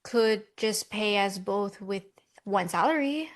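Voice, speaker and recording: A woman's voice: mid-range voice, expressive, takes it slowly. A woman playing an upbeat, eager, persuasive Millennial twin in a romcom table read.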